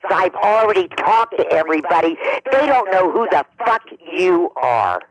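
A man voicing a hostile old woman on a phone call who curses and threatens in drawn-out words. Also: high voice, big pitch swings, crowd noise.

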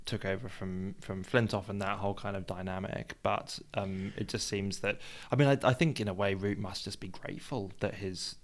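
A man's voice: lowish voice, steady tone, moderate pace, hardly any pauses. A man co-hosting a sports podcast in casual discussion, hedging and working out his thoughts as he talks. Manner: hedging, thinking aloud as he talks. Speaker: podcast co-host.

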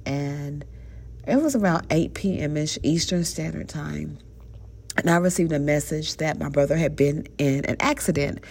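A woman's voice: sits low, gravelly, a little mellow. A woman in her thirties hosting a faith podcast, speaking earnestly and conversationally with a stuffy nose. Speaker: podcast host.

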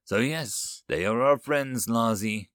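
A man voicing a calm, collected human chancellor in his thirties in a story, measured and diplomatic. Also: medium pitch, dry recording, studio quality. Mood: composed, pleased.

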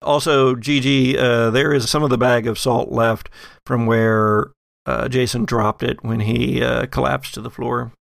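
Slow, gravelly-voiced male game master. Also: medium pitch, level tone, no room sound, clean studio sound. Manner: halting, descriptive narration. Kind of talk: narrating a tabletop horror game.

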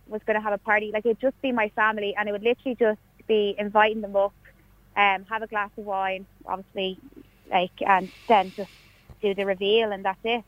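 A woman's voice: mid-range voice, dry recording. Hesitant woman on radio, slightly dull sound. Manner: hesitant, lots of "like". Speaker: radio studio guest. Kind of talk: casual chat.

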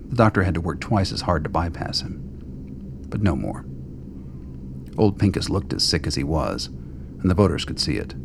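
Male narrator for a radio play: very low, creaky voice, measured dry delivery, literary storytelling. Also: background noise. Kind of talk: scripted radio drama narration.

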